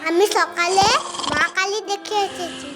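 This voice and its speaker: A performer voicing a naive, bickering, curious little child in an animated story, with a childlike voice. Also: very high voice, measured pace. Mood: naive, matter-of-fact. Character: squabbling, curious little children, a brother and sister. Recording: loud children's music behind.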